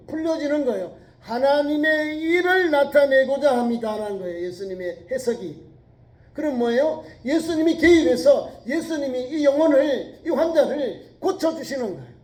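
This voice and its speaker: A middle-aged pastor with a regional Korean accent preaching a sermon, asking the congregation rhetorical questions and rising to near-shouts when quoting scripture. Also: male voice, very high-pitched. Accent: Korean regional dialect. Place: church sanctuary. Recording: echoey church sanctuary.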